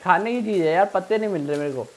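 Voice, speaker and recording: A man's voice: high voice, rapid-fire. A young man giving casual let's play commentary, thinking aloud and repeating himself as he plays. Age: young adult. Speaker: gaming streamer.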